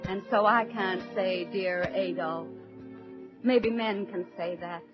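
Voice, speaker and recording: A woman's voice: mid-range voice, fairly even intonation, slow, natural voice. An actress playing an earnest, defiant middle-aged American housewife and mother in a solemn 1940s radio monologue. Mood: scornful, defiant. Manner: solemn, theatrical, emphatic. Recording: dull 1940s radio recording, faint music.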